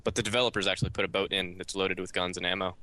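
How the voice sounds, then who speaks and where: A man's voice: deep voice, steady tone, very fast, sharp tone. A man in casual conversation as a podcast panelist. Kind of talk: casual chat.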